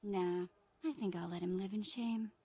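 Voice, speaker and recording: A woman's voice: mid-range voice, moderate pace, mellow, husky. An actress playing a sassy, mocking young female companion in a video game, casual and sarcastic.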